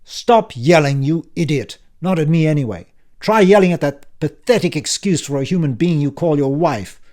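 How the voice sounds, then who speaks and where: A brash, sardonic older uncle barks his lines in a cutting, impatient manner, put on by a man reading an audiobook. The voice sits higher and louder than the reader's own, gruff and bossy throughout. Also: lively intonation, moderate pace, natural voice. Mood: brusque. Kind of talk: audiobook character voice.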